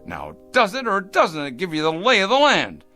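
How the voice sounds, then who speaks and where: A smug male corporate boss in a dramatic production, obsessed with progress. His voice is bright and forceful, and it swings dramatically up and down with pompous condescension. Faint music sits behind him. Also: high voice, huge pitch swings, normal speed, exaggerated voice. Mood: irritated. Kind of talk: dramatic radio-play style acting.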